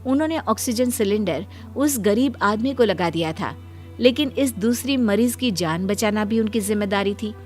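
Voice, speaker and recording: A woman narrates a scripted story in a medium-pitched voice. Soft music plays faintly underneath her storytelling. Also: expressive, moderate pace.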